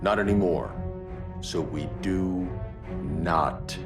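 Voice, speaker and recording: A man playing a grim, brooding vigilante leader in a game, terse and stern, with a gravelly growl. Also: sits low, slow pace, over music. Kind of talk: video game cutscene acting.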